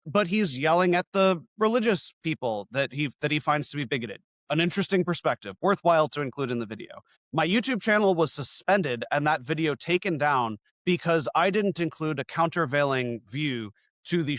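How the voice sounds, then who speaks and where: A man who works as an independent video journalist, talking through a long story in a conversational interview and turning lively when he quotes other people. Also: fairly high pitch, no room sound. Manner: long-winded, animated when quoting others.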